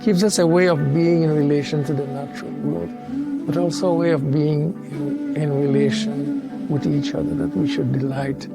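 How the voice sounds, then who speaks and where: A man from Trinidad and Tobago, a theologian speaking as an interview guest in a measured, thoughtful way with pauses and restarts. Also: fairly high pitch, animated, gravelly, loud music behind the voice. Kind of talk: reflective interview conversation.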